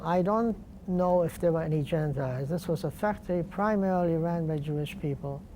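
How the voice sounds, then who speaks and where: An older man from Poland, speaking slowly and deliberately with pauses in an oral history interview. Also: on the high side, dark tone, slight background noise, poor audio. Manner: slow, deliberate, halting with pauses.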